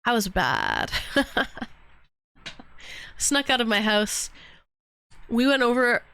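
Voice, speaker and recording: A woman's voice: on the high side, wildly swooping pitch, slow, vocal fry, pushed and bright, clean tone. A woman from Canada in her thirties, hosting a casual voice-chat stream and rambling about old cartoons. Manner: rambling, humming cartoon theme songs. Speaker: streamer taking call-ins.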